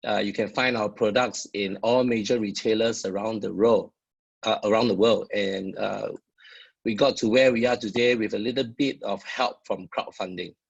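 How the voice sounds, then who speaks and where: A man with a Singaporean accent giving a founder's talk, pausing often with "uh" fillers. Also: on the low side, fairly even intonation, vocal fry, husky, lo-fi sound. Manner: halting, frequent "uh" fillers. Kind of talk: presentation with many "uh" fillers.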